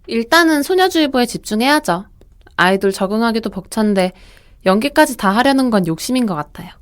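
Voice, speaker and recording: A young actress playing a modest, composed idol group leader. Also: female voice, on the high side, animated, a little fast, close to an everyday voice. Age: young adult.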